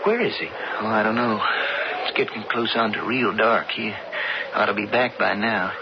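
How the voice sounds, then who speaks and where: A man's voice: lowish voice, normal speed, natural voice. A man playing an earnest young cowboy, muffled recording. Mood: worried. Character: earnest, loyal young Western cowboy, anxious brother. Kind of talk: radio drama acting. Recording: muffled, very noisy old radio broadcast.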